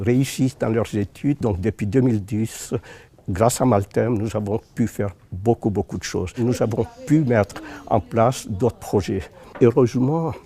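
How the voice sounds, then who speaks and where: A man's voice: lowish voice, dark tone, slightly rough. A man from Madagascar gives an informal testimonial as an association president, hesitantly repeating words as he searches for phrasing.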